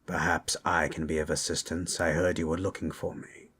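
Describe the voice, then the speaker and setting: Man as a deep, husky undead spellcaster. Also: level tone, fairly bright tone, exaggerated voice. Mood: calm, formal. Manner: measured, courteous, solemn. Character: calm formal bony undead spellcaster. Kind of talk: fantasy audio drama acting.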